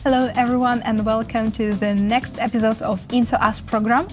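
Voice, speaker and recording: A woman's voice: a little high, steady tone, brisk, over loud music. A woman hosting an interview program, asking careful, friendly questions in non-native English. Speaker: interview host.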